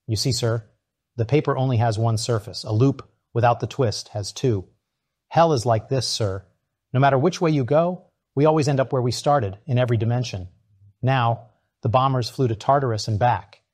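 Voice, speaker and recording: A man's voice: medium pitch, a little mellow, no room sound, close to an everyday voice. An actor playing a keen scientist in an audiobook, explaining things precisely to his superiors. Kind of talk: audiobook character acting.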